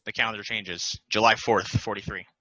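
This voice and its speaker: A man narrating stage directions at a play table read in a plain, deliberate, announcing manner. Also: fairly low pitch, flat delivery, bright, forceful tone, dry recording, highs cut off.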